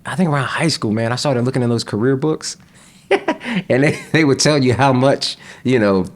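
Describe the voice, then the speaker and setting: A young man chatting casually as a podcast guest, relaxed, with frequent laughs and fillers. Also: mid-range voice, normal speed. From United States.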